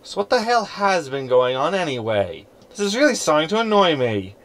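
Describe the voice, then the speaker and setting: An actor playing a grumpy, sardonic ship's hologram who grumbles through a sci-fi sitcom audio drama. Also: male voice, a little high, big pitch swings, normal speed, fairly bright tone. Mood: annoyed. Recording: echoey room with background ambience.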